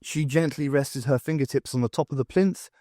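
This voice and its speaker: A man narrating a comic fantasy audiobook in a wry, theatrical way with deliberate pauses. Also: mid-range voice, warm tone, dry recording, studio quality.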